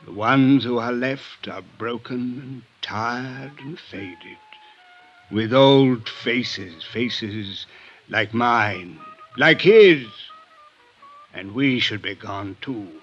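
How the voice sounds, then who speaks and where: An actor playing a drunken, melancholy, eloquent older man with florid, halting speech in a 1950s radio drama. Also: mid-range voice, fairly bright tone. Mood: melancholy, weary. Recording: dull 1950s radio recording, music behind.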